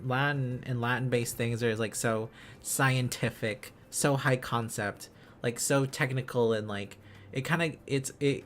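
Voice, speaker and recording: A man's voice: mid-range voice, a little hiss or hum. A man hosting a livestream, chatting casually in an enthusiastic, rambling, giggly manner. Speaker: livestream host. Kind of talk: casual livestream chat while learning Korean script.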